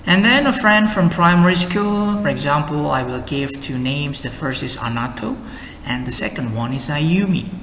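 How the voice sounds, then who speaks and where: A man's voice: on the high side, expressive, fairly bright tone, a little hiss or hum. Indonesian-accented man in an echoey room. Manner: slow, careful explaining with many "and then" links. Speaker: English exam tutor. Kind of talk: lesson with sample answers. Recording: echoey room with faint ambience.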